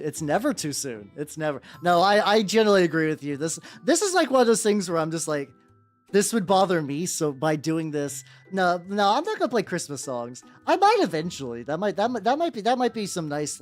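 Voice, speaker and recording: A young man with a relatively high voice chatting casually on a livestream while painting miniatures, rambling and often repeating himself. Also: big pitch swings, fairly quick. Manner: rambling, repeats words, laughs often, squeals in playful high bits. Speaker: VTuber streamer. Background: soft background music.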